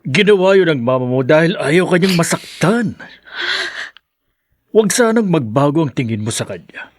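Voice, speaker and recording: An actor playing a father calmly pleading for understanding. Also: fairly high pitch, normal speed, no room sound, studio quality.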